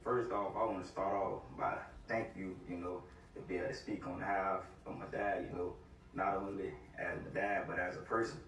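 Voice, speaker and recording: A young man speaking with heartfelt, casual, halting warmth about his father. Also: sits low, flat delivery. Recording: echoey room from far off.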